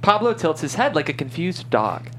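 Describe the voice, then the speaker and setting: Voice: mid-range voice, very animated, moderate pace, a little hiss or hum. A reader delivering scripted stage directions as narrator at a table read.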